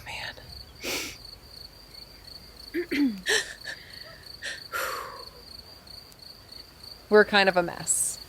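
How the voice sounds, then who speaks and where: Fairly high female voice, bitter abandoned young friend, blunt, raw, accusing, night insects behind. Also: a little hiss or hum. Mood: vulnerable. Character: Amy, wounded, bitter, restless young woman, abandoned friend. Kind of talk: naturalistic audio drama acting, some first-person noir narration.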